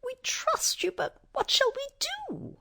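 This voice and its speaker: Storybook mouse queen: tiny, haughty, squeaky, pitched far up, swooping delivery. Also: very animated, takes it slowly, gravelly, pushed and bright, heavily acted. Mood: gracious. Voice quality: squeaky, little voice. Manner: regal, indignant, exclamatory. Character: tiny proud female field mouse queen, squeaky and excitable.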